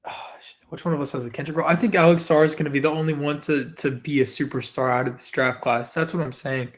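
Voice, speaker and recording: A man with a slightly raspy voice co-hosting a casual basketball podcast, eager and quick to agree. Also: a little high, fairly even intonation. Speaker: podcast co-host. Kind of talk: casual sports podcast chat.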